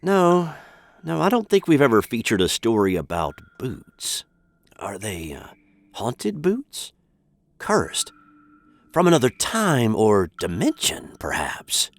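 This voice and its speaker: An actor playing a bewildered, polite American captive in a comic radio sitcom. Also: male voice, mid-range voice, wildly swooping pitch, slow, dry recording, heavily acted. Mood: confused. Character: bewildered, polite American man chained in a dungeon. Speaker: narrator star of the show.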